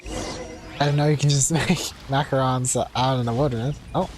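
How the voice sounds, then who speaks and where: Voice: mid-range voice, fairly even intonation, moderate pace, a little mellow, faint music behind. A streamer giving casual gameplay commentary while playing. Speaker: game streamer. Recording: clear background noise, clicks.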